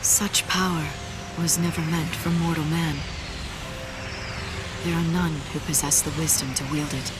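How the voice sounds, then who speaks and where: A woman's voice: deep voice, steady tone, over loud music, poor audio. An actress playing a solemn, prophetic woman in a video game, grave and formal as she warns of fate. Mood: grave warning. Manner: grave, formal, measured phrasing. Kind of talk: video game narration in character.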